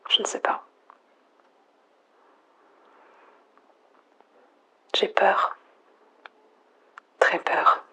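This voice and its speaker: A woman acting out the last woman alive in an analog horror radio log, lonely and afraid. Her voice is low, husky and heavily creaky, often sinking into a whisper. She speaks haltingly, as if thinking aloud to herself. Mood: anxious, resigned. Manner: halting, introspective, murmured. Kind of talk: hushed monologue, analog horror audio log.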